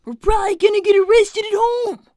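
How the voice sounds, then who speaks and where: A young cartoon fox boy played by a woman speaks in a tiny, very high voice. He is brainy but sensitive, sounding earnest, hesitant and worn down as he protests. The sound is dry and close. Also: fairly even intonation, brisk, dark tone, no echo. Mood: exasperated, protesting. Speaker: character performer on a livestream. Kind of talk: improvised in-character conversation.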